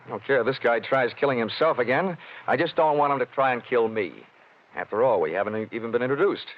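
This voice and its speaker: A man's voice: medium pitch, fast talker, natural voice. Man playing a wisecracking radio detective, dull lo-fi sound. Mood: cocky, wisecracking. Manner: wry, flippant, slangy. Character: wisecracking, tough, cocky male freelance insurance investigator. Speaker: lead actor. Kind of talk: 1940s-style radio detective acting.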